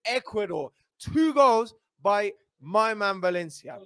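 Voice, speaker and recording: A man's voice: high-pitched, lively intonation, slow pace, a bit bright. A man in his thirties hosting a casual football show, upbeat, who reads viewer comments aloud. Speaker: show host. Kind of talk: casual live-stream chat. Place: small indoor studio with a backdrop.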